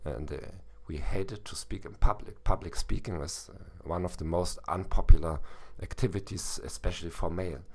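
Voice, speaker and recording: Male podcast host, hoarse today, very low and gravelly voice, halting delivery full of "uh"s. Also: darkish tone. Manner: halting, with many "uh" fillers and restarts. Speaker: podcast host and language teacher. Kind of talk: solo educational podcast talk.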